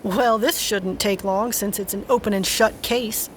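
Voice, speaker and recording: A woman's voice: medium pitch, steady tone, unhurried, natural voice. An actress playing a hard, distrustful, unforgiving woman in an audio drama, with a firm, blunt delivery. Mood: hard, decided. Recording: faint background noise.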